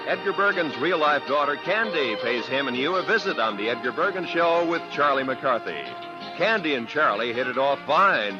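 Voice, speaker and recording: A man announcing in scripted 1950s American network radio style, polished and declamatory. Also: mid-range voice. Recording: dull old radio broadcast with music.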